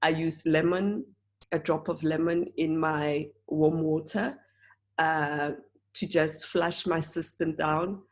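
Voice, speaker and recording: A woman with a hoarse, croaky voice presenting an online class from home over a video call, talking earnestly with many fillers and restarts. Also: sits low, fairly even intonation, slow pace. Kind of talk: casual spoken class talk.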